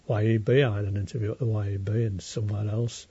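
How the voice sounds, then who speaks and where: A man's voice: fairly low pitch, little pitch movement, quick pace, mellow, husky, no echo. An elderly man from Leeds with a Yorkshire accent, reminiscing in an unscripted, plain-spoken interview. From Leeds, England. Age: elderly, around 80s. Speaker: interviewee, retired railway worker. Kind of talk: unscripted oral history reminiscence. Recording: dull lo-fi interview recording.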